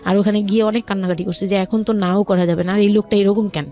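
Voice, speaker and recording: A woman with a Bangladeshi accent tells a personal story in a mid-pitched voice. She speaks haltingly and confidingly, as an interview guest, with music playing underneath. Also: steady tone, dark tone. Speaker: guest telling her life story. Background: background music.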